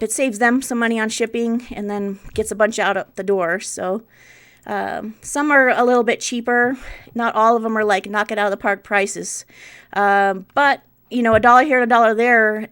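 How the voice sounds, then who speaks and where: Clear-voiced woman in her forties, chatting casually. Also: medium pitch, a bit bright. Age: 40s. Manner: unscripted, conversational, thinking aloud. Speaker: part-time online reseller, video host. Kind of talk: casual explanatory vlog narration.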